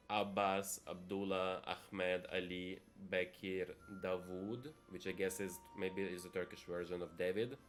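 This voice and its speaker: A man from Italy with a very deep voice talks through his game on a live stream, chatty and thinking aloud as he goes. Light music plays faintly underneath. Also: fairly bright tone. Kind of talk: casual live-stream gameplay commentary.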